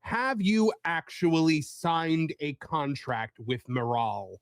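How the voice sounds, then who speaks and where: Halting man on a panel, dry close sound. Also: on the high side, no echo. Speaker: panelist and entertainment reporter. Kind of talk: casual panel discussion.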